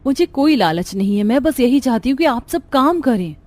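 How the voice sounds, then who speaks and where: A woman's voice: sits high, lively intonation, normal speed, a little mellow. A woman voicing an earnest, worried young daughter-in-law in a cartoon family story. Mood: pleading. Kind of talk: cartoon voice acting.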